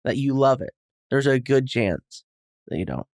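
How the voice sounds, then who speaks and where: A man's voice: mid-range voice, big pitch swings, normal speed, creaky, a little mellow, no room sound, clean studio sound. A man as a podcast guest in casual comedic chat, agreeably riffing along with mock seriousness.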